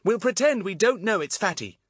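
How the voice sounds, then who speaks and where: Man playing an eager, bossy schoolboy, lively pitch. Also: fairly quick, no echo, studio quality. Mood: amused, confident. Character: eager, confident schoolboy plotting pranks.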